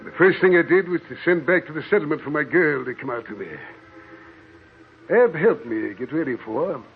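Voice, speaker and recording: An actor playing a rough, solitary desert prospector in an old-time radio horror drama, with folksy Western slang and a storyteller's manner. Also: male voice, on the high side, lively intonation, a little fast. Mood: storytelling. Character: rough desert prospector living alone, telling grim stories. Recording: tinny, noisy old radio recording.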